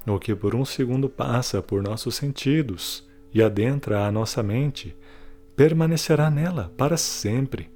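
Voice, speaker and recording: A man's voice: medium pitch, a little mellow, faint music behind, close to an everyday voice. Man acting a weary, guilt-ridden prisoner, solemn. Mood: earnest appeal. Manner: solemn, deliberate, confessional. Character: weary, guilt-ridden male prisoner confiding a farewell testimony. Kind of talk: dramatic monologue in an audio drama.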